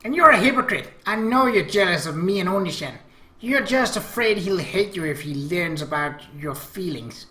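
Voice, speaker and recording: Man putting on a high, bratty anime little sister. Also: expressive, moderate pace, sharp tone. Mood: accusing. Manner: arrogant, insistent. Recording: echoey room.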